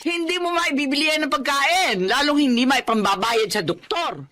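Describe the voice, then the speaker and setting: A woman's voice: high-pitched, normal speed, fairly bright tone. An actress playing a blunt older mother and grandmother in a Filipino radio drama. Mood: scolding, exasperated.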